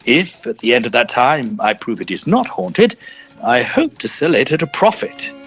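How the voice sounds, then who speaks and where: A man's voice: medium pitch, sing-song, normal speed, a bit bright, slightly rough, soft background music, heavily acted. A man playing an eager, inquisitive Edwardian ghost hunter in an audio drama, with theatrical, formal diction. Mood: slightly flustered. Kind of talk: audio drama narration and dialogue.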